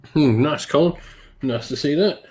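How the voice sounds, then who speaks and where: A man hosting a casual hobby stream, a little croaky from being sick, laid-back with lots of ums. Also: a little high, a little mellow. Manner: laid-back, many ums, greets chat viewers by name. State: slightly croaky from illness. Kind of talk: casual live-stream chat while painting miniatures.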